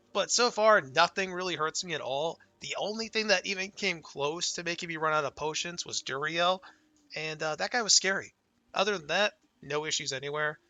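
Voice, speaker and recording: Bright, dry-voiced gamer with a fading voice. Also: male voice, a little high, no room sound. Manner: laid-back, enthusiastic, swears casually. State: voice going hoarse from illness. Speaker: gaming channel host. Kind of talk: casual gameplay commentary.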